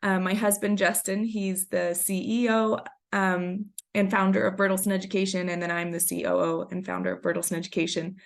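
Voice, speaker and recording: A woman's voice: mid-range voice, level tone, fairly quick, vocal fry. A woman in her thirties presenting a webinar in a chatty storytelling way, a little scratchy from a cold. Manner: chatty, storytelling, frequent ums. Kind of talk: conversational presentation.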